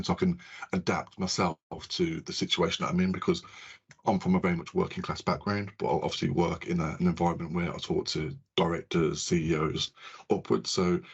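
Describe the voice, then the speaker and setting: A man with a deep, rough-edged voice, hosting a casual podcast chat. He rambles informally and circles back over his phrases, on a somewhat muffled recording. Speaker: podcast host. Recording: dull, lo-fi sound.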